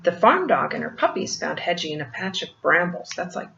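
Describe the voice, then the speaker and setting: An older woman reads a picture book aloud like a grandmother, pausing for gentle explanations and questions to the child. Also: on the low side, very animated, vocal fry. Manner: gentle teacherly asides, asking the child questions. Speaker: grandmother storyteller reading to children. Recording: muffled, roomy home recording with faint noise.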